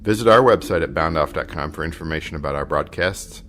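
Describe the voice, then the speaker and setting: A man's voice: extremely low pitch, fast talker, a bit bright, a little hiss or hum. A man hosting a podcast, reading a scripted announcement. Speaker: podcast host.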